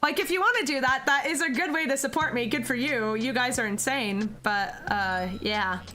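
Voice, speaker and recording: A woman's voice: high-pitched, normal speed, pushed and bright. A young American woman streaming, chatting casually with her viewers and giggling often. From United States. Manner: giggly, chatty, answers chat questions.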